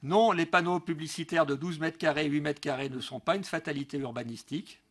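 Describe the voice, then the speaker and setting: Middle-aged male councillor, slightly high-pitched husky voice, formal emphatic speech read into a desk mic. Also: a little fast. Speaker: local elected councillor. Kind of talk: prepared political speech at a council meeting. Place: large council meeting room, indoors. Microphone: gooseneck desk microphone.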